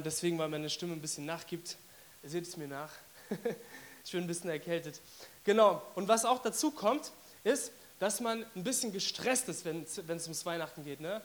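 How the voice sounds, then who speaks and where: Hoarse man preaching, high voice. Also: slight background noise. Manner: chatty, with many fillers. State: hoarse from a cold. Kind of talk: casual sermon to a congregation.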